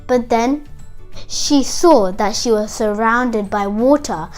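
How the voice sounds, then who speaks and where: A woman's voice: a little high, slow pace, soft background music. A young girl hosting a kids' show, retelling a fairytale to children in a chatty, singsong, dramatic way. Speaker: storytelling host of a kids' show.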